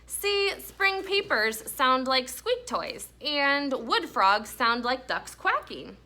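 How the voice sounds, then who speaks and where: A woman's voice: high voice, big pitch swings, takes it slowly, pushed and bright, heavily acted. A woman voicing an eager, earnest young boy who loves frogs, in a storybook read-aloud. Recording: noisy classroom recording.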